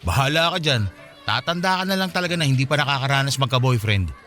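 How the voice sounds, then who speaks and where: A man's voice: medium pitch, animated, fast talker, sharp tone. An actor playing a friendly 27-year-old Filipino man in a radio drama, chatty and teasing in a casual way. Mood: teasing, curious. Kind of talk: Tagalog radio drama dialogue.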